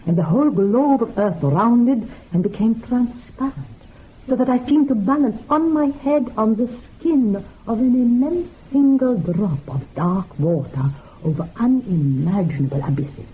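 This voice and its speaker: A woman's voice: fairly high pitch, animated, unhurried. Solemn mystic woman, tinny sound. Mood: rapt, reverent. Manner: incantatory, grandly poetic. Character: solemn, unshakeably convinced female mystic. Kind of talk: radio drama acting. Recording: tinny narrow-band radio sound, faint noise.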